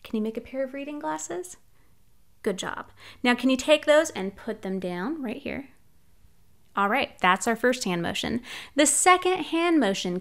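A young woman librarian leading a children's storytime, talking cheerfully in a sing-song way to young listeners from a small room at home. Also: high-pitched. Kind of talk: children's storytime with songs and read-aloud. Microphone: large studio mic on a boom arm. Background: ukulele.

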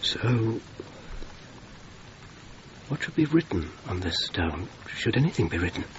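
Brief: restrained officer-administrator, radio drama, courteous, lowish voice over clear background noise. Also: male voice, big pitch swings, a little slow, dull audio. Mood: sombre, supportive. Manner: polite, restrained. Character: courteous, measured male major general, administrator. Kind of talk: BBC radio drama acting.

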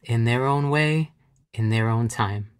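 A man playing a calm, reassuring father in an audio drama. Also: mid-range voice, measured pace, clear, resonant tone, lightly acted.

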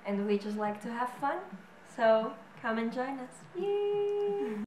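A woman of twenty-four from Slovakia with a Slovak accent, chatting cheerfully to camera as she introduces her flat to a future flatmate. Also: high voice, slight background noise. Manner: cheerful, giggly, quick to joke. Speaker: flatmate seeking a roommate. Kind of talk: casual chat to camera. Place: living room, indoors. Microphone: camera on a tripod. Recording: echoey room with music behind.

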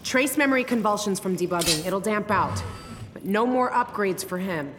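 A woman plays a no-nonsense game scientist with a mid-pitched voice. She is brisk and blunt in her delivery. Long reverb surrounds her, with music behind. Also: animated, lightly acted. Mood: matter-of-fact. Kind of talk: video game voice acting.

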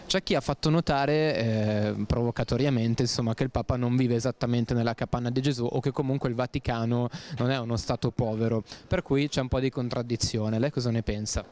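A man's voice: mid-range voice, steady tone, brisk, hardly any pauses, a little mellow. A man conducting street interviews, putting formal questions to passers-by.

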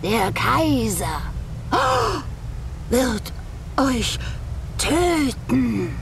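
A woman playing an ominous fortune-teller in a fantasy game, foretelling doom in portentous, halting phrases. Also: fairly high pitch, huge pitch swings, gravelly, background noise, heavily acted. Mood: ominous, certain. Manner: slow, portentous, halting delivery. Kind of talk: dubbed fantasy game cutscene acting.

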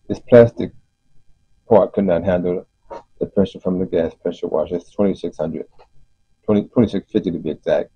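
A man giving an unscripted explanation as host of a DIY video, speaking haltingly with frequent pauses. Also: low-pitched, creaky, breathy edge. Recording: muffled, roomy voice-over recording.

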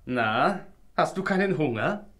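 An actor playing a bold, teasing, good-hearted young hero in a fairy-tale audio drama. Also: male voice, fairly high pitch, expressive, measured pace, fairly bright tone. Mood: taunting.

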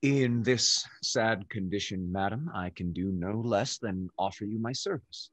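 A young actor playing a suave, sardonic rake, a theatrical gallant in a period stage comedy. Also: male voice, fairly low pitch, a little slow, dry recording. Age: young adult. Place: indoors, on a video call. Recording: dull, muffled video-call audio.

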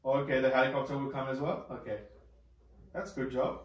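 A man's voice: medium pitch, little pitch movement, fairly quick. A man chatting casually as he plays along with a child, asking simple prompting questions, recorded across a living room. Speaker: parent hosting a family toy video. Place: large living room with hard wooden floor. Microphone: camera several metres away. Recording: echoey room, distant mic, background noise.

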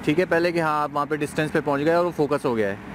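A young man briskly directing his team during an outdoor test by a road at night, recorded on a camera. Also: fairly high pitch. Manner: brisk, giving orders. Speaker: project lead directing a test. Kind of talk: casual instructions to a team. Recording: outdoor traffic noise. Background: passing vehicles.

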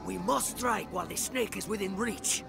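Fierce, gravelly rebel warrior. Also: male voice, high voice, normal speed, over music. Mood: vengeful. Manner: fierce, impulsive. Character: hot-headed vengeful male rebel warrior. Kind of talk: intense video game acting.

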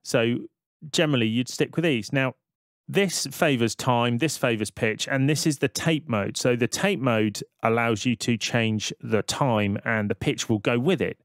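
A man narrates a software tutorial in a casual, conversational way. His voice sits at a middle pitch and is recorded close and dry. He explains each step as he goes, like a tutor talking through a screen recording. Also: unhurried, no echo.

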